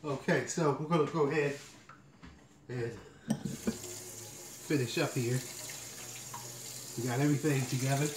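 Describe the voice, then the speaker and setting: A middle-aged man casually demonstrating cooking as he works, halting and repeating himself in a home kitchen. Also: medium pitch, steady tone. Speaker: home cook hosting a cooking video. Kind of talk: casual cooking demonstration, talking while working. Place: small home kitchen, indoors. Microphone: camera or phone mic at varying distance. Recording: roomy kitchen echo, clattering pots, noisy.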